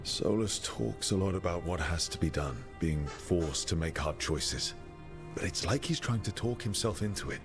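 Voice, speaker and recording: A man's voice: low voice, expressive, normal speed. A man playing an earnest, resolute hero in video game dialogue, plain-spoken and questioning. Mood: thoughtful. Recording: music behind the voice.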